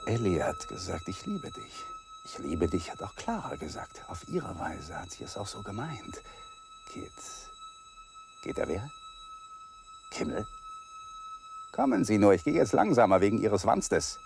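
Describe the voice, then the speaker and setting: A man's voice: extremely high pitch, huge pitch swings, unhurried, pauses often, heavily acted. A desperate hunted man, noisy recording. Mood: wary, agitated. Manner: feverish, fragmented thinking aloud. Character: desperate hunted man, feverish, lovesick and defiant. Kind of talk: German radio drama monologue. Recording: noisy 1980s radio drama recording.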